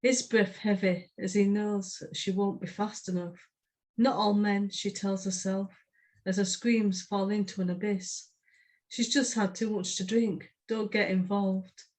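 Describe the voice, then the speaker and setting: A woman's voice: mid-range voice, steady tone, measured pace, a little mellow. A woman, speaking plainly as a podcast co-host who chats and reads her poetry. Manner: brief, plain-spoken. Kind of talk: casual podcast chat and poetry reading.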